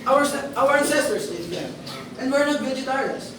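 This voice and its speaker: In a very echoey, noisy room, a woman reads a stubborn, guarded village male. The voice is on the high side and set back from the mic, in an amateur stage reading. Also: expressive, normal speed, far from the mic. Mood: guarded, dismissive. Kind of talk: amateur reader's theater dialogue.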